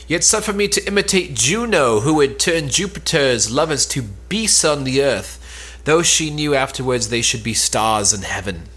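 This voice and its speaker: A man's voice: medium pitch, expressive, normal speed, fairly bright tone, slightly rough. Man playing a wildly swooping, vengeful lovesick woman. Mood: defiant. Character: scheming lovesick woman, vengeful and theatrically anguished. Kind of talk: cold-read Elizabethan stage drama.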